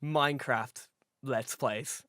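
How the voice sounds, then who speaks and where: A man with a fairly high voice co-hosting a casual podcast, rambling excitedly with swearing and restarts. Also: slow pace, no room sound, studio quality. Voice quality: relatively high voice. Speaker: podcast co-host.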